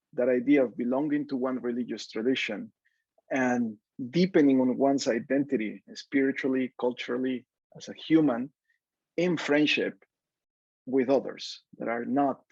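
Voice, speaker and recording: Gravelly Peruvian-accented man in his forties. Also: mid-range voice, a little mellow, no room sound, muffled recording. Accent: Peruvian Spanish. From Peru. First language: Spanish. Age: 40s. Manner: earnest, reflective, frequent fillers and restarts. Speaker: panel speaker, academic. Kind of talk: panel talk.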